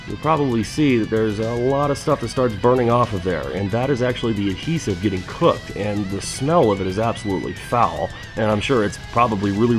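A man in his thirties hosting a fabrication show, chatty and upbeat as he demonstrates in a metal shop. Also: lowish voice. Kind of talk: casual how-to demonstration.

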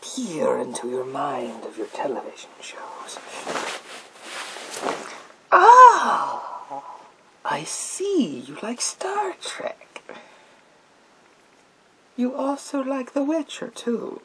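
A man playing a sinister psychic mind-reading soldier in a gas mask, in a theatrical, drawn-out, whispered ASMR roleplay. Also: sits high, huge pitch swings, noisy background, pitched above the performer's own voice, heavily acted. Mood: probing, theatrical. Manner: theatrical, slow, drawn-out, repetitive phrases.

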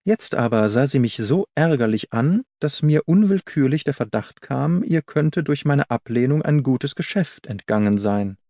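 A man reading a classic detective story as an audiobook, in a measured, expressive storytelling manner. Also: medium pitch, no room sound, studio quality. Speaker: audiobook narrator.

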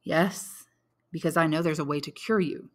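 A woman's voice: low-pitched, fairly even intonation, close to an everyday voice. A woman voicing a solemn, commanding count. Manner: solemn, persuasive, measured.

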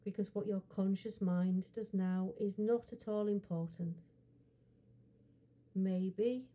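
A woman with a very soft, dark, mellow voice leads a guided hypnosis session. She speaks slowly and soothingly, leaving frequent pauses between short phrases. The recording is somewhat muffled and dull. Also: mid-range voice. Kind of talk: guided hypnosis relaxation script.

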